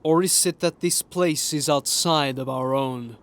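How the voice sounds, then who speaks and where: Man acting a slow, brooding Viking warrior. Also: a little high, a bit bright. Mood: ominous. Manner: slow, grave. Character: brooding superstitious male Norse warrior, deferential. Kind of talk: audiobook character acting.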